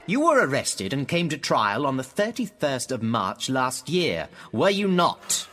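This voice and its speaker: An actor with a British accent playing smug establishment interrogators in a radio drama, condescending and insinuating. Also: male voice, medium pitch, very animated, unhurried, pushed and bright, a little hiss or hum. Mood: stern, formal. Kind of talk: theatrical radio drama dialogue.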